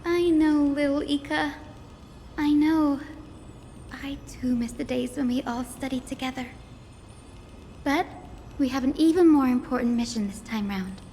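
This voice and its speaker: Gentle, reflective young sky-folk scholar for a game, high register, music underneath. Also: female voice, a little slow, long pauses. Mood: tender. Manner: soft-hearted, reflective. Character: gentle young female scholar, wistful descendant of sky people. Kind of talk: scripted game voice acting. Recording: long reverb, music behind.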